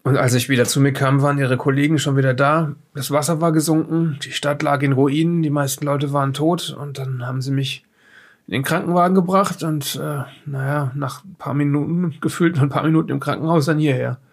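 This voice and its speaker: A man playing a shaken, wary doctor held in custody in an audio drama, speaking haltingly with uneasy insistence. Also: fairly high pitch, fairly even intonation. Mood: shaken, weary. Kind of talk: improvised audio drama dialogue.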